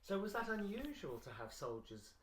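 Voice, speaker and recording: A man's voice: sits high, very animated, moderate pace. A man asking questions as an interviewer in an oral history interview.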